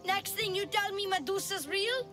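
Very high boyish voice, huckster tour guide, salesy patter, game music underneath. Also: female voice, moderate pace. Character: fast-talking young boy huckster tour guide. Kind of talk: video game voice acting.

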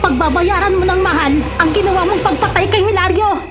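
High-voiced vengeful woman, acted by a woman. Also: a little fast, heavy background noise. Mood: anguished, furious. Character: vengeful grieving young woman turned monster, fierce. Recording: loud music bed under the voice.

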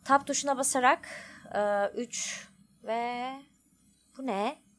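A woman's voice: on the high side, slow, fairly bright tone, slight background noise. A woman giving casual, informal let's play commentary, thinking aloud as she goes. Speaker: gaming streamer.

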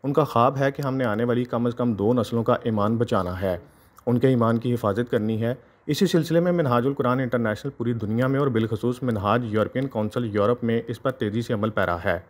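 A male correspondent reads a formal news report in a steady, measured voice. His pitch sits in an ordinary middle range, and the delivery stays even and composed throughout. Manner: formal, even-paced reading. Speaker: field reporter.

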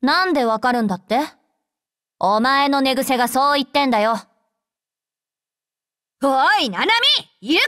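A woman voicing a brash, tsundere young female android soldier in an anime game, with rough, blunt, tomboyish speech. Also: sits high, bright, forceful tone, no echo, clean studio sound. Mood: cool, teasing, then irritated. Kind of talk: Japanese anime game character voice lines.

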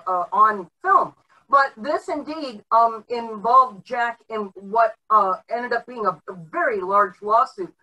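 A middle-aged woman explaining background informally, haltingly, with many filled pauses, on a video call. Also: on the high side, sharp tone. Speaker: panelist giving background on old TV history. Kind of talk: informal explanatory podcast talk. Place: home room, indoors, on video call. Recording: distant mic on video call.

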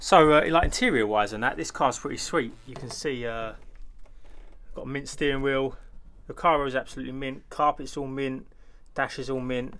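A man's voice: medium pitch, steady tone, normal speed, a bit bright, a little hiss or hum. A man filming his own car vlog, talking casually and enthusiastically about engines, turbos and brakes. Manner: enthusiastic, rambling, car jargon. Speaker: car vlogger. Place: in and around cars outdoors at night, engine noise. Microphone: phone camera held by the speaker.

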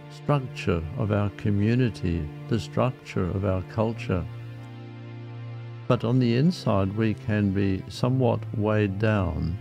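Slow, low-voiced man guiding a meditation. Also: vocal fry, dark tone. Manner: measured, reflective, with frequent pauses. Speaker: meditation guide. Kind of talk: guided meditation talk.

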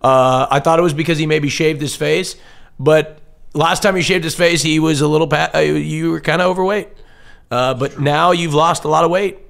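A man with a somewhat high-pitched voice talks casually among a group. He pushes to be heard and often restates his point. The room adds a strong echo. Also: fairly even intonation, moderate pace, vocal fry, fairly bright tone. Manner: insistent, repeats himself to hold the floor. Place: indoor room with several people. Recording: echoey room.

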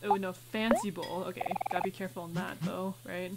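A woman's voice: fairly low pitch, fairly even intonation, a little fast, vocal fry, very noisy. A woman doing casual let's play commentary, chattily thinking aloud and reading game text out as she goes. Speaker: gaming video host.

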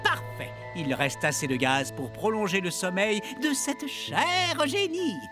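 An actor playing a scheming villainous count in a cartoon, sly and mocking. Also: male voice, high-pitched, sing-song, measured pace, music in the background. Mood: gloating. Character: scheming villainous count, adult man, gloating.

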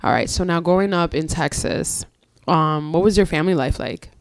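A woman's voice: sits low, normal speed, no room sound. A woman hosting a podcast, curiously asking a guest follow-up questions on a studio mic. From Puerto Rico and the US. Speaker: podcast host. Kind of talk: interviewing a guest. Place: small podcast studio indoors. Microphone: studio mic on a boom arm.

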